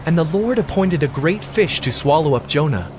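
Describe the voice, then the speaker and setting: A man narrating a scripture audio drama in an expressive storytelling manner. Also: on the high side, moderate pace, background noise.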